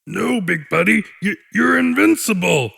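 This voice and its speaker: A man's voice: high voice, lively intonation, unhurried, vocal fry, pushed and bright, breathy edge, voice pushed up, full character voice. A man voicing an anxious young male monster, a stammering little brother in an audiobook horror tale. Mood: eager, determined.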